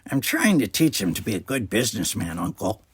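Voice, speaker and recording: A man's voice: on the low side, big pitch swings, brisk, breathy edge, heavily acted. A man voicing a young, scheming, grumbling alien boy in an audiobook reading. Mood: earnest. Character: young male Ferengi alien, grumbling, scheming schoolboy friend. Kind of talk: acted dialogue in audiobook reading.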